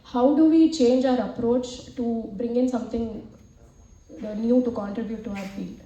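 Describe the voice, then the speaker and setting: A woman's voice: fairly high pitch, steady tone, moderate pace, mellow, lo-fi sound, slight background noise. A young woman asking a lecturer a question from the audience, hesitant with many fillers. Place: lecture hall. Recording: echoey hall, distant mic.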